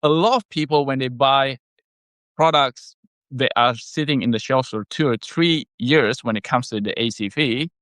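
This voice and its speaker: Man with an Indonesian first language, measured. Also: medium pitch, fairly bright tone, no room sound. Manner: measured, explanatory, with frequent pauses and fillers. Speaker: health product maker and podcast co-host. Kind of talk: conversational podcast chat.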